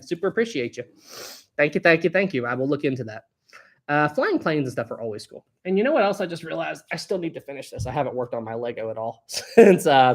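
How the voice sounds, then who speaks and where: A man's voice: mid-range voice, fairly bright tone. A man in his thirties hosting a casual live stream, chatting and reading viewer comments aloud, laughing often. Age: 30s. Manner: chatty, laughs often, reads chat aloud with playful bursts.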